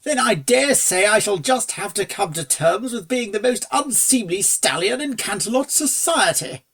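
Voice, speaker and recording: A reader voicing a suave upper-class gentleman stallion in a storybook, with a posh, drawling, breezy manner and old-fashioned phrasing. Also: medium pitch, expressive, moderate pace, voice pushed up. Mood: smooth, amused. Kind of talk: storybook character dialogue.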